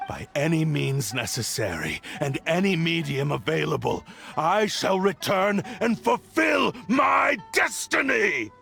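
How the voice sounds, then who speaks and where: A gravelly, scornful cursed blade speaks in a video game. It rails in a broad, swinging delivery that rises into shouted threats. Faint game music plays beneath it. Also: male voice, on the high side, big pitch swings, unhurried, heavily acted. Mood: menacing. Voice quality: gravelly, menacing. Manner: haughty, declaiming threats, swells into shouts. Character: arrogant cursed blade possessing a man's body. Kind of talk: game voice acting. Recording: game soundtrack under voice.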